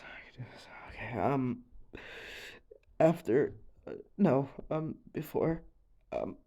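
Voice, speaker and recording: A distraught young man played by a male voice actor makes a confession in an audio drama. He speaks very slowly and haltingly at a middle pitch, stammering, trailing off and starting over, weighed down by grief and fear. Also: creaky, mellow. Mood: nervous, composing himself. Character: distraught, grieving young man confessing a frightening, unbelievable story. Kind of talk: audio roleplay confession monologue.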